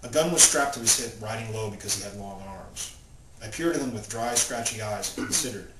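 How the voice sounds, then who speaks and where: A man with a fairly low voice speaks as an author presenting and reading his own fiction. He keeps to his own voice without acting out characters. The recording is roomy and distant from the mic, with loud noise behind him. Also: a little fast. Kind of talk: informal talk and plain prose reading aloud.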